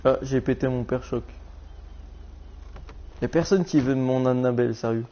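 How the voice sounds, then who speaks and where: A man's voice: mid-range voice, moderate pace, warm tone, a little hiss or hum. A man making casual, slangy prank-call banter on a live stream, with a cheeky manner. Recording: dull lo-fi live stream audio.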